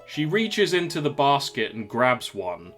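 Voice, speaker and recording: Male narrator for story audio; middle pitch, scripted delivery, clear music bed underneath. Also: sing-song, unhurried, fairly bright tone.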